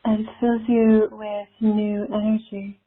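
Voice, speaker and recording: Woman, guided meditation leader, very slow pace, gentle and soothing, long pauses, tinny phone-like call audio. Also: mid-range voice, level tone, breathy edge.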